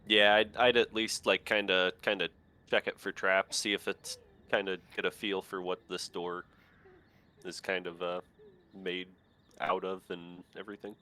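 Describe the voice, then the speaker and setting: A man playing a tabletop game, talking casually and haltingly with many fillers. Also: deep voice, little pitch movement, sharp tone. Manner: hesitant, halting with "uh" and "kinda". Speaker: player.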